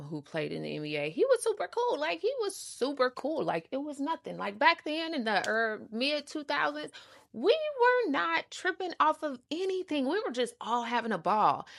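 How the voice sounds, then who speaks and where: A woman's voice: lowish voice, a bit bright, no room sound, studio quality. A middle-aged woman telling a personal story casually as a host, sassy and emphatic, repeating words for emphasis and using salty slang. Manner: uses slang and swearing.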